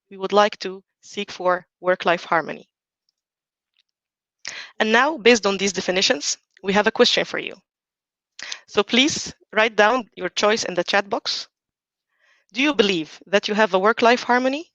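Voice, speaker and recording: A woman in her forties from Egypt, giving a measured, earnest prepared talk as a team coach. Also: mid-range voice, a bit bright, no room sound, dull audio. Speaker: team coach, conference speaker.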